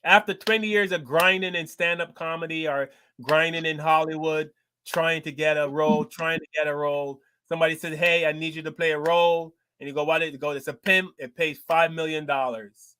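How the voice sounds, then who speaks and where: A man's voice: a little high, steady tone, brisk, sharp tone, smooth. A man, a guest comedian talking casually on a panel show and animatedly acting out exchanges as he makes his points.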